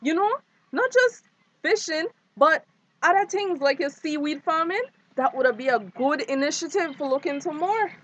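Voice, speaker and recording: An actress playing an earnest, respectful fisheries worker in a drama, explaining politely. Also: female voice, high-pitched, expressive, moderate pace, a bit bright, no echo, highs cut off. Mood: interested. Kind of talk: naturalistic radio drama acting.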